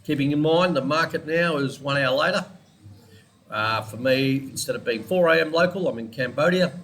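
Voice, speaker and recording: A man's voice: a little high, moderate pace, fairly bright tone, a little hiss or hum. An Australian man with a congested head cold, chatting casually through a weekly forex trading analysis and rambling off on side topics. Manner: chatty, rambling, digressive, with small laughs.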